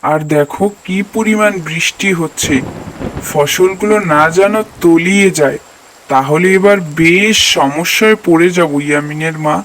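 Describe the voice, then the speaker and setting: A man's voice: a little high, a little slow, fairly bright tone, slight background noise. A man voicing a poor, devout village farmer and father in a moral cartoon, earnest and plaintive. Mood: worried, pleading. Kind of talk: cartoon voice acting, moral tale.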